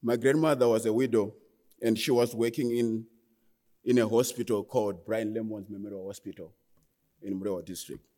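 A man in his twenties with a Zimbabwean accent, giving a personal testimony as a guest speaker in an earnest, halting way that often restarts phrases. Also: lowish voice, steady tone, dark tone. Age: 20s. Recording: long hall reverb.

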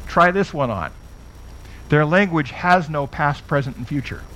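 Middle-aged man with a fairly high voice, chatty Bible lecturer who asks his listeners questions. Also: fairly bright tone, rough recording. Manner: conversational, asks the audience questions. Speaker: Bible teacher.

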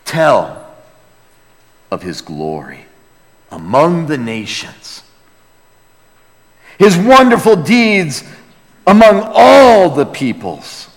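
A man preaching a sermon as a pastor, earnest and emphatic, moving between hushed lines and loud exclamation, with rhetorical questions to the congregation. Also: a little high, very animated, drawn-out words, pauses often. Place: large reverberant room, preaching to a congregation. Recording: long hall reverb.

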